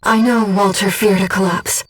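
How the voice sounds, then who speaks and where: A woman voicing a gentle, disembodied young female companion in a video game, giving a mercenary pilot composed, earnest and precise tactical reports in a soft, breathy voice. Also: medium pitch, fairly even intonation, normal speed, distant mic, lightly acted, rough recording. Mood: calm, focused. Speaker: mission operator companion.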